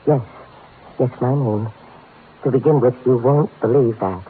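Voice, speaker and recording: A man's voice: medium pitch, measured pace, lightly acted. An actor playing a timid, fretful middle-aged man with a nervous, hesitant stammer in a 1950s radio drama. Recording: tinny old radio recording, faint noise.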